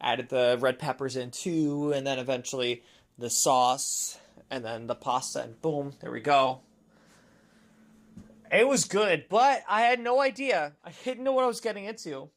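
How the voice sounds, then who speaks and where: A woman gaming streamer with a deep voice for a woman, chatting casually and rambling with lots of fillers while she plays. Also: expressive, unhurried. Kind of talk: casual chat while playing.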